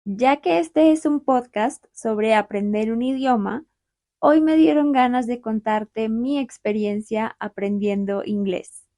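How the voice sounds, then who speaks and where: A young adult woman from Bogotá with a Colombian accent, hosting a friendly podcast for language learners in a calm, clear, unhurried storytelling way. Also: a little high, dry recording, clean studio sound. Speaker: podcast host.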